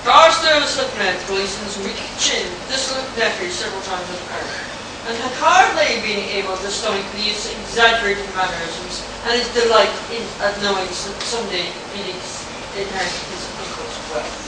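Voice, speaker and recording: A woman's voice: medium pitch, normal speed. A woman narrator giving a live dramatic reading of a pulp story at a convention. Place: convention hall. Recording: noisy, echoey convention hall from far off.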